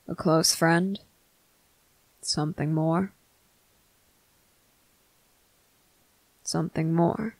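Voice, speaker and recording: A performer voicing a stern but tender male vampire captain in an intimate ASMR roleplay, speaking deliberately in clipped phrases with pointed pauses. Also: medium pitch, creaky, no echo, low-quality recording. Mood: probing, intimate. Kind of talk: ASMR roleplay addressed to the listener.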